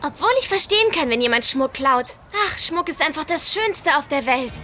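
Anime audio drama best friend: teen girl, blunt, gushing, very high and swooping, music behind. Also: moderate pace. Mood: gushing, excited. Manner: excitable.

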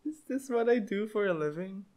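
A man's voice: high-pitched, animated, fairly quick, a little mellow. A young man with a lisp doing intimate boyfriend ASMR roleplay in a playful, teasing manner.

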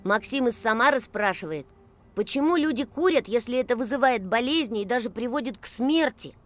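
Woman voicing a high-voiced, curious cartoon boy. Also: expressive, fairly quick, natural voice. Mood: curious, keen. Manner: inquisitive, clearly enunciated. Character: bright, curious young boy reading out questions. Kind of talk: educational children's cartoon dialogue. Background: music.

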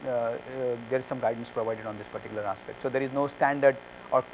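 Male speaker, 30s, rapid and halting, Q&A after a talk, light hum. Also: medium pitch, steady tone, dull audio. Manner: fast, hesitant, many fillers and restarts. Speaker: conference speaker. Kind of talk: answering audience questions. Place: conference room with curtains. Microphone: lapel mic.

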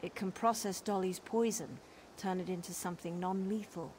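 A woman's voice: lowish voice, steady tone, normal speed, darkish tone, a little hiss or hum, close to an everyday voice. An actress playing a mischievous elf woman in a fantasy game who plots pranks in a conspiratorial, gleeful way. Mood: scheming. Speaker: quest giver in a fantasy game. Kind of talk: game dialogue.

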